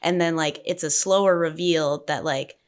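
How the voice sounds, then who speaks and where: A woman's voice: low-pitched, steady tone, moderate pace. A woman in a casual group discussion, slipping into imagined bits of dialogue as she explains. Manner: acts out imagined lines of dialogue. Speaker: panelist in a script discussion.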